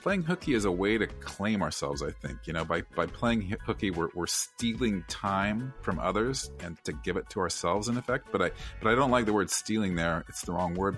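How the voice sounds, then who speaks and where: Male podcast co-host, very low voice, lively and emphatic, faint music underneath. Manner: animated, emphatic, conversational. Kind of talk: casual podcast chat.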